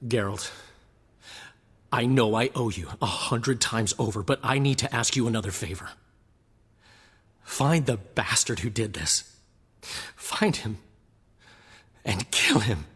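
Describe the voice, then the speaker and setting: An actor playing a dramatic, devoted dandy bard in a video game, theatrical and expressive, with a husky, breathy voice. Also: male voice, lowish voice, takes it slowly, long pauses, big-room sound, heavily acted. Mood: pleading, vengeful.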